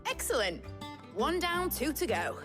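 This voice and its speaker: High-voiced, spirited shipwright. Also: female voice, very animated, measured pace, over music. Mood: upbeat. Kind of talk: video game voice acting.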